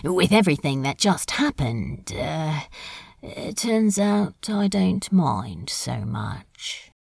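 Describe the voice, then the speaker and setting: A woman voicing a laid-back, teasing male demon with a soft sentimental side, drawling casually in a fan audio drama. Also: very animated, takes it slowly, dry recording, studio quality, full character voice. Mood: sheepish. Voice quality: low, gravelly, husky.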